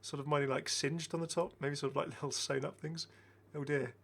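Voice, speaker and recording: A man's voice: on the high side, fairly even intonation, a little fast, creaky, a bit bright. A man giving casual, enthusiastic game commentary as a chatty, rambling video host.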